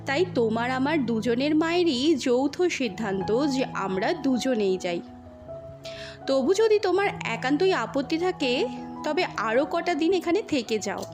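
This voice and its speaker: Woman voicing a gentle young husband, unhurried. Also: high-pitched, expressive, over music. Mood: gentle, coaxing. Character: young husband, gentle, coaxing, teasing his new wife. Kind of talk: audio story dialogue.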